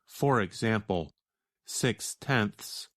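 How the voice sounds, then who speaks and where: An American man with a fairly low voice teaches from a script. He speaks very slowly and deliberately, explaining each point in a measured way. The sound is dry, with hardly any room. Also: lively intonation, a bit bright, no echo. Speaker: teacher answering a listener's question. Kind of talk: slow scripted educational narration.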